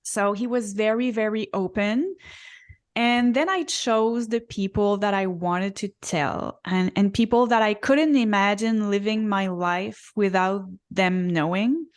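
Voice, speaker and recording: A woman in her forties co-hosts a casual spiritual podcast. She speaks at an unhurried, deliberate pace, with frequent pauses and ums as she recalls her story. Her pitch sits in an ordinary middle range. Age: 40s. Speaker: podcast co-host, Akashic reader.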